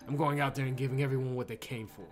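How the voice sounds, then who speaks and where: A man's voice: medium pitch, steady tone, very fast, crowd noise, close to an everyday voice. A man voicing a stubborn, cocky female wrestler from a video game, profane and combative. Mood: defiant. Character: brash, foul-mouthed, stubborn female wrestler.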